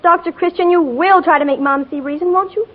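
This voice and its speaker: An actress playing a headstrong, slangy fifteen-year-old tomboy in an earnest, gushing 1940s radio drama style. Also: female voice, very high voice, a little fast, narrow, tinny audio. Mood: pleading, indignant.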